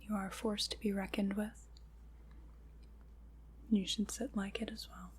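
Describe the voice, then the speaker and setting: A woman playing a gentle, solemn witch in an ASMR roleplay, guiding a ritual in a soft, breathy, deliberate whisper. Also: mid-range voice, little pitch movement, a little hiss or hum, natural voice. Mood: soothing, commanding. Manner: slow, soothing, deliberate guided-ritual delivery.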